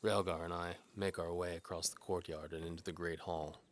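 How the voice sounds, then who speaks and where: A man's voice: low voice, level tone, fairly quick, slight background noise, lightly acted. A man playing a cynical, hard-bitten mercenary bowman who narrates his own case in a wry, terse noir style. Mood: narrating, wry. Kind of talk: scripted first-person noir fantasy narration.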